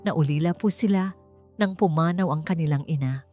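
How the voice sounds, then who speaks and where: A Filipino-accented woman voicing a frightened, polite young mother straining to sound steady in a romance audio story. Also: low voice, normal speed, faint music behind, lightly acted. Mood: forcedly steady. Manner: polite, forcedly steady, hesitant. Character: frightened, deferential young mother hiding a secret.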